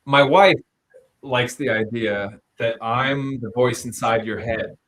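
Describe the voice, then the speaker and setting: Relaxed man with dry humor, a singer. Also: mid-range voice, slow pace. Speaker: singer on the album. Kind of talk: casual chat.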